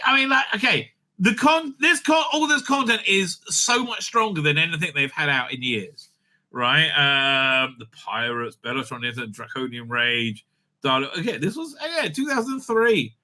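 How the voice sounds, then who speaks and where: A middle-aged man giving casual, rambling opinionated commentary as a show host, emphatic and halting, often repeating himself and checking his points. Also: on the high side, sharp tone.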